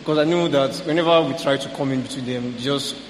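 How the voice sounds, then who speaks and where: A young man sharing about his family in a relaxed, halting way with fillers. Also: medium pitch, a little hiss or hum. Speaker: panel participant. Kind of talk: casual sharing. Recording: echoey hall with faint ambience.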